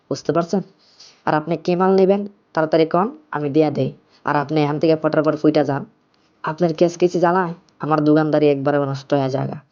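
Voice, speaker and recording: A man's voice: a little high, darkish tone, lightly acted. A man playing a harried, complaining village shopkeeper in a cartoon, with a Bangladeshi accent and a curt manner. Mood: irritated. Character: harried, irritable male village shopkeeper, the nephew. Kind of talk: amateur cartoon dialogue. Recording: muffled, dull lo-fi audio.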